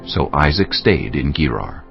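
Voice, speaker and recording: A man narrating scripture as a scripted drama, with a gravelly, husky voice. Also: extremely low pitch, sing-song, a little slow. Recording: slightly dull audio, faint music.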